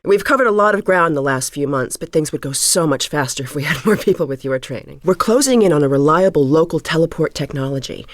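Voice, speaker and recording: A woman's voice: deep voice, lively intonation, quick pace, hardly any pauses, no echo, studio quality. An actress playing an earnest, composed middle-aged woman physicist in a video game, speaking precisely and a little formally. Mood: eager explaining. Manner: precise, articulate, slightly formal. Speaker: scientist character in a video game. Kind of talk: scripted video game voice acting.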